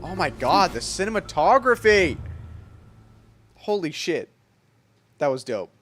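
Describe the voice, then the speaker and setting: An excitable streamer reacting casually to a video game, joking and exclaiming along the way. The pitch swings widely and the delivery is lively. Game music plays clearly behind the voice. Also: a little slow. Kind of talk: casual, reactive gameplay commentary. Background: loud-ish video game music.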